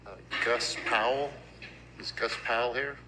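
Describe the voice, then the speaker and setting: A man chairing a legislative hearing, brisk and procedural as he calls witnesses. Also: medium pitch, fairly even intonation, noisy background. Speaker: committee chairman.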